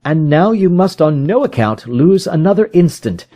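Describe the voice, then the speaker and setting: A man's voice: on the high side, big pitch swings, normal speed, dark tone, pitched above the performer's own voice. A man voicing an impatient, decisive male detective in an audiobook, with emphatic, formal Victorian diction. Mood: urgent, commanding. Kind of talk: audiobook character voice in a detective story.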